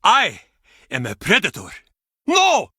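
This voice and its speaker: Animated, gruff ex-sailor. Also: male voice, high-pitched, slow, no echo, studio quality, heavily acted. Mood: theatrical, defiant. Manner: theatrical, brusque, mocking. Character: gruff, bitter Icelandic ex-sailor, cranky menacing male pub regular. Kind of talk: video game character acting.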